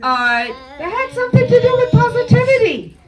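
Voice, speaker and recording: A woman's voice: very high-pitched, big pitch swings, brisk, soft background music. A woman speaking as a teacher and host to a live audience of students, with emphatic, encouraging praise. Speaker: teacher hosting a student event. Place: indoor school event, audience present. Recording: distant mic in room with audience.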